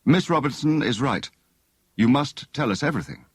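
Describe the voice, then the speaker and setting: A man playing a courteous, measured English private detective, polite and probing, in an audiobook story. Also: mid-range voice, expressive, breathy edge, no echo, voice pushed up. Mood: serious, probing.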